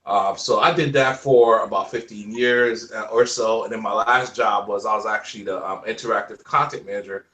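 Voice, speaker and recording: A man in his forties from Haiti with a light Haitian accent, chatting as a guest in a relaxed, rambling way. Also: fairly low pitch, normal speed, hardly any pauses, echoey room, recorded from a distance. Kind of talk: casual chat.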